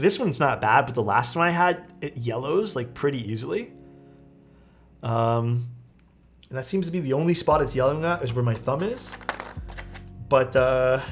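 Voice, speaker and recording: Easygoing young man streaming over music. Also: fairly high pitch. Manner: rambling, easygoing, talks to chat. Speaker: livestream host building keyboards. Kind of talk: casual livestream chat with viewers. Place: small rented room indoors. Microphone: streaming mic.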